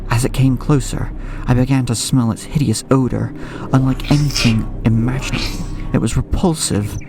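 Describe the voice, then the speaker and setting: A gravelly, creaking young male storyteller played by an actor with clear music underneath. He is haunted and anxious, narrating a horror audio drama in measured, brooding, ornate sentences. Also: mid-range voice, fairly even intonation, natural voice. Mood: dread. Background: clear background music.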